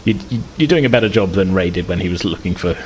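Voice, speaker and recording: A man's voice: deep voice, expressive, quick pace, slight background noise, rough recording. A man casually narrating a tabletop roleplaying game as game master, in a conversational, hedging way.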